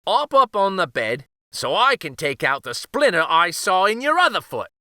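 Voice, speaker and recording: A man voicing a teasing, bearded old uncle in a children's storybook, folksy and excitable, often repeating himself. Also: high-pitched, normal speed, no echo, clean studio sound, voice pushed up, heavily acted. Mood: bossy teasing.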